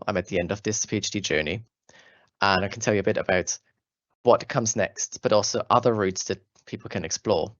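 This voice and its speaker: A man's voice: lowish voice, a bit bright, no echo, muffled recording. A young man in his twenties with an Austrian German accent, giving an earnest, friendly talk to school students about his path as a doctoral student. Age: 20s. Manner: earnest, friendly, with fillers and restarts.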